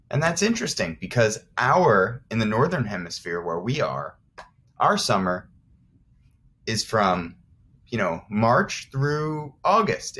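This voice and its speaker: A man's voice: medium pitch, a bit bright. An American man, a teacher of young children, reading aloud and explaining simply as he addresses the children directly. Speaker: first grade teacher.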